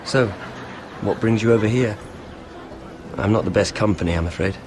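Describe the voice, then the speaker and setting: A man's voice: lowish voice, normal speed, background noise, natural voice. A man playing a clumsy, meek nobleman in a fantasy game, hesitant and apologetic as he talks. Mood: bashful, hesitant. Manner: hesitant, apologetic, soft-hearted. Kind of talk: fantasy video game acting. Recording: music behind the dialogue.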